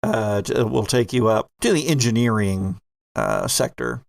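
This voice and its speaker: A man narrating a horror tabletop roleplaying game as game master, with dramatic, drawn-out delivery. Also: medium pitch, animated, vocal fry, no echo, studio quality.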